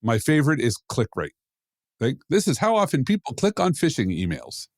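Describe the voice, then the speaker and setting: A man's voice: mid-range voice, huge pitch swings, moderate pace, darkish tone, no echo, studio quality. A man co-hosting a podcast, giving casual, opinionated commentary in a blunt, emphatic way. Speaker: podcast co-host, security expert.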